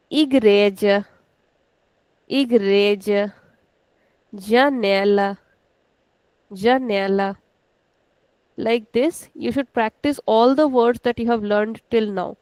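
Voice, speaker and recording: Indian woman teacher, higher voice, dry close mic, patient, repeats each word twice. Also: darkish tone, no room sound, poor audio. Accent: Indian English. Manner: slow, drawn-out demonstration of pronunciation, repeating words; switches into rapid Hindi asides. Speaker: language teacher. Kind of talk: online language lesson.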